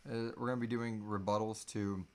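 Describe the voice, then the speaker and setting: A man's voice: fairly low pitch, little pitch movement, brisk, a little mellow. A man in his thirties co-hosting a casual live question-and-answer chat, loose and joking, swearing casually, recorded on a device in a small room. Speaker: podcast co-host answering listener questions.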